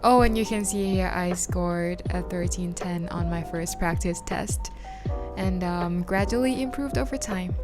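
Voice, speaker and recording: A woman's voice: fairly low pitch, measured pace, music in the background. Chatty young Thai woman vlogging. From Thailand. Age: young adult. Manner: chatty and informal, with frequent "like" and "um". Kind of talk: casual study-tips vlog.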